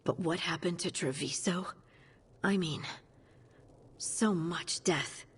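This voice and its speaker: A woman's voice: fairly low pitch, takes it slowly, husky, heavily acted. An actress playing an earnest, practical, seasoned female scout in a video game, speaking straightforwardly. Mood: troubled. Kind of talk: video game cinematic acting.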